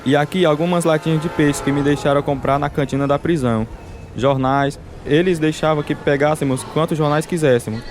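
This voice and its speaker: A man's voice: on the high side, fairly even intonation, brisk, noisy background, natural voice. A man with a Brazilian accent playing a devout, serene older Russian pastor and father freed from prison, in a radio drama. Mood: relieved, reflective.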